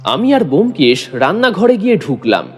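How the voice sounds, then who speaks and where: A man gives dramatic scripted narration for an audio story. His fairly high voice has very animated intonation, with music playing behind it. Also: moderate pace, slightly rough.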